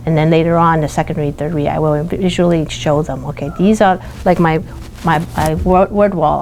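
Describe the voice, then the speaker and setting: A woman speaking as a teacher in an interview, explaining her classroom practice haltingly as she feels for words. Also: deep voice, darkish tone, low-quality recording.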